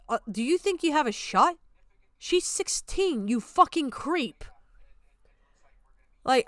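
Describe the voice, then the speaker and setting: A woman with a clear, fairly high voice rants on a livestream. She is blunt and indignant and swears freely. The sound is dry, with very little room in it. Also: a bit bright, dry recording. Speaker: streamer reacting to videos. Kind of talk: casual stream commentary and rant.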